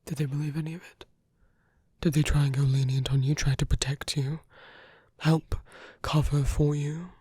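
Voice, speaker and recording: A performer voicing a seductive, protective villain in an intimate romantic ASMR roleplay, gently coaxing in a halting, husky voice that often drops to a whisper. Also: medium pitch, level tone, no echo, studio quality, close to an everyday voice. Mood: concerned, indignant. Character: seductive villain, protective, tenderly persuading an abandoned hero.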